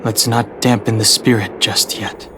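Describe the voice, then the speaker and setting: A man voicing a calm, reserved, pragmatic young man in a video game, speaking in a measured, serious way. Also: fairly low pitch, music in the background, close to an everyday voice. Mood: somber, composed. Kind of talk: scripted video game cutscene acting.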